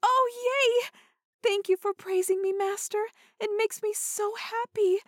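A woman acts out an adult female dog-human hybrid for an audio roleplay, in a very high voice. She is submissive and excitable, speaking slowly in a breathy, pleading way. The recording is close and dry. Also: dry recording. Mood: overjoyed, excited. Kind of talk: intimate scripted audio roleplay.

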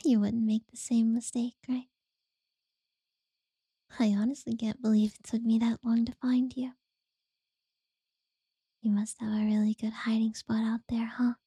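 A woman voicing an obsessive, possessive captor in a whispered audio roleplay, slow and unsettlingly sweet. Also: a little high, little pitch movement, dry recording, studio quality, exaggerated voice. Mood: creepy tender. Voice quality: soft breathy whisper.

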